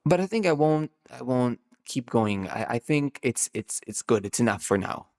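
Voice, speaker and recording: A man's voice: mid-range voice, lively intonation, normal speed, a little mellow. A man hosting his own podcast, thinking aloud off the cuff, drawing out key words and often restarting his sentences. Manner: rambling, musing, with many restarts. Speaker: podcast host, numerologist. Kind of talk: improvised solo podcast monologue.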